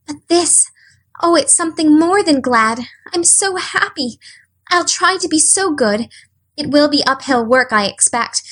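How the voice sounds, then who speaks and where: A woman's voice: sits high, a bit bright, voice pushed up. A woman voicing an eager, imaginative young orphan girl who speaks in a breathless, pleading, wide-eyed way. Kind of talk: audiobook character voice.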